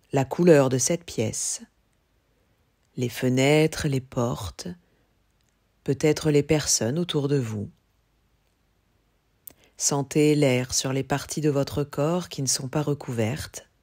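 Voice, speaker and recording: Slow, husky woman guiding meditation. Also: a bass voice. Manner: calm, measured, instructional with long pauses. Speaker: yoga teacher. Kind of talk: guided yoga nidra meditation.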